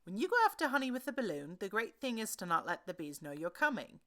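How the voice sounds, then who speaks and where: A woman's voice: medium pitch, very animated, fast, fairly bright tone. A woman voicing an earnest, simple-minded, honey-loving male bear in a children's storybook, pondering aloud in an emphatic, sing-song way. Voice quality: growly.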